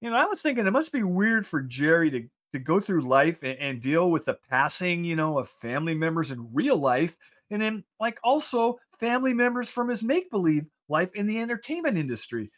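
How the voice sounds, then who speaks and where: The excitable, high-voiced guy rambling between segments on a casual talk radio show. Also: a little fast, hardly any pauses. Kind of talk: casual studio banter and interviewing.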